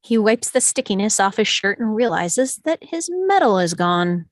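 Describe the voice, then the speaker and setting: A woman reading scripted stage directions as narrator at an animated film table read. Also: mid-range voice, moderate pace, no room sound, studio quality.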